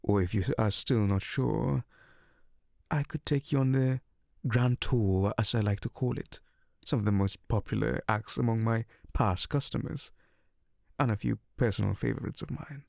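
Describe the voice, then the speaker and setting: A man's voice: fairly low pitch, normal speed, vocal fry, darkish tone, dry recording. A man voicing a seductive, teasing male incubus in an ASMR roleplay, close on the mic.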